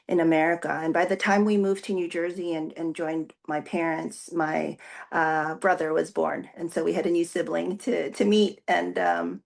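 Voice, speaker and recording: A Filipino-born woman whose first language is Tagalog talks through her story in an interview. Her voice sits low with a gravelly fry, and she pauses often with fillers and restarts as she thinks. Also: level tone. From Philippines. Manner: thoughtful, many fillers and restarts. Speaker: executive pastor, guest. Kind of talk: casual interview answers.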